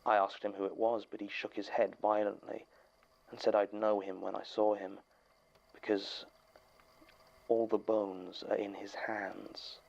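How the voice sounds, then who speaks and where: Low, creaky, grave horror witness. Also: male voice, little pitch movement, measured pace, a little mellow, dull audio. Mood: grave, ominous. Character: grave man recounting an eerie horror testimony. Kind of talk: audio drama monologue.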